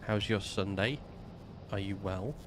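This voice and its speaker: A man's voice: lowish voice, a bit bright, slight background noise. A man streaming a game, talking through his building plans, snuffly from a slight cold. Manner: slow, muttering to himself while building. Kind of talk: casual gameplay commentary.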